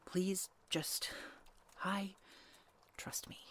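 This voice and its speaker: A woman's voice: mid-range voice, level tone, very slow, in a whisper. A woman playing a stubborn, protective hunter in a fantasy audio roleplay, terse and brusque. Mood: resolute.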